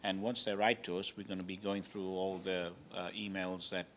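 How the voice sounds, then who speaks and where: A man's voice: sits low, flat delivery, moderate pace, fairly bright tone, slight background noise. A man born in Zambia, a hotel owner, answering interview questions politely with many hesitations.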